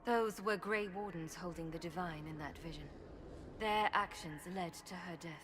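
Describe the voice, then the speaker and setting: An actress as a stern, suspicious warrior in a fantasy game. Her voice is on the low side and dramatic. Clear ambient noise runs behind it. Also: moderate pace, close to an everyday voice. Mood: accusing, wary. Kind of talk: dramatic video game voice acting.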